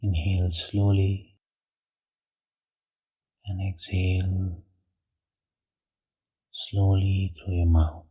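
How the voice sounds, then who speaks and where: A man leading a guided meditation in a hushed, breathy voice, with short phrases and long pauses. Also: sits low, flat delivery, low-quality recording.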